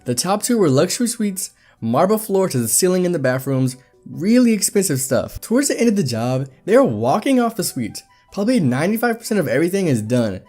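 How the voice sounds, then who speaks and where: Guy reading reddit-style stories, kinda high voice, bouncy delivery, soft music under it. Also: brisk. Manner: lively, animated reading with laughs and asides. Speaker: Reddit story narrator. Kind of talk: reading stories aloud with commentary.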